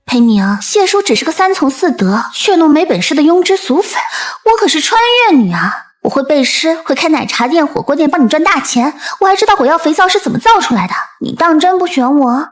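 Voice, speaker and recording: A woman voicing a scheming, boastful young time-traveller in an animated comic drama, cajoling and brash. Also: high voice, a little fast, hardly any pauses. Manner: cajoling, self-righteous, brash.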